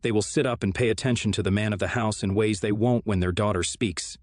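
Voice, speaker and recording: A man narrating online forum stories aloud in a steady, even-toned reading. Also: on the low side, brisk, no room sound.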